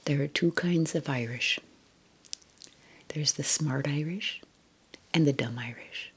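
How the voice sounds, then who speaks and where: Blunt stern granny, whispered by a woman, low and slow, no nonsense. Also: slightly rough, full character voice. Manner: whispered, matter-of-fact. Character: blunt stern old grandmother.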